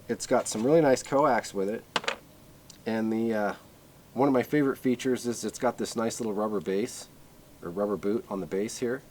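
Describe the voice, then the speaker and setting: A man giving a casual, unscripted product overview in a halting, conversational way with plenty of filler words. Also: lowish voice, a little mellow, a little hiss or hum. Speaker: product reviewer.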